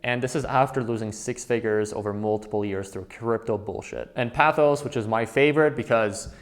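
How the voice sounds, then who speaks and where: Persuasive young man pitching. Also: fairly quick. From Quebec, Canada. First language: French. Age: 20s. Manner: emphatic, persuasive, direct address to viewer. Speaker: trading educator. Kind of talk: promotional pitch to camera.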